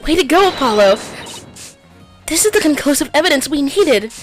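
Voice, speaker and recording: A woman's voice: high voice, lively intonation, normal speed, soft background music, pitched above the performer's own voice. A woman voicing an upbeat young female co-counsel, cheering and triumphant. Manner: cheering, bright.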